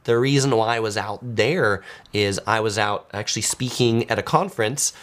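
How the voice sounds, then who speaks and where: A man's voice: on the low side, normal speed, a bit bright. A man in his forties chatting casually as a video host, reading out viewer comments and replying as if talking to one person. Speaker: host of a travel video channel. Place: small home studio, indoors.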